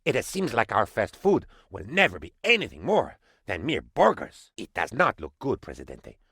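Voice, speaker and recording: An actor voicing a bumbling, excitable and fawning aide to a dictator in a comic video game, with theatrical delivery. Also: male voice, fairly low pitch, normal speed, husky, dry recording, clean studio sound, heavily acted. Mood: disappointed, hesitant. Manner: theatrical, fawning, swooping between excitement and dismay. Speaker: game advisor character.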